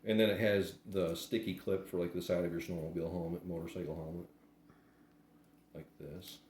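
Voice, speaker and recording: Low-voiced man, casual unboxing, roomy echo. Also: little pitch movement, a little fast, a little mellow. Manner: unscripted, hesitant, plain-spoken. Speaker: product reviewer doing an unboxing. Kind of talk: casual hands-on unboxing commentary. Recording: roomy echo, faint background noise.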